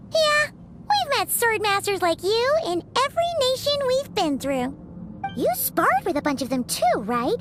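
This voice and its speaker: A woman voicing a tiny, excitable female companion creature who talks about herself in the third person, in video game dialogue. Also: very high voice, unhurried, exaggerated voice. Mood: chatty. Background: game music.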